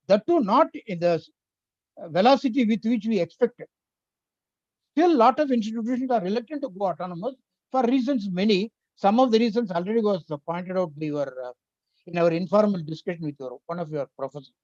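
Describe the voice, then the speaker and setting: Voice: medium pitch, lively intonation, brisk, a little mellow, no room sound. An older speaker with an Indian accent and a Tamil mother tongue, giving an earnest, explanatory webinar lecture that works through points one by one. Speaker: invited speaker on education policy. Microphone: video call. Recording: dry, slightly muffled webinar audio.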